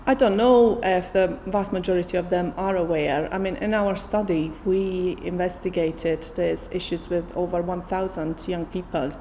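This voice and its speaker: A female researcher speaks carefully in a low, dark voice. The sound carries a long reverb with faint background noise. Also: fairly even intonation, normal speed, hardly any pauses. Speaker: academic researcher. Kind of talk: expert interview.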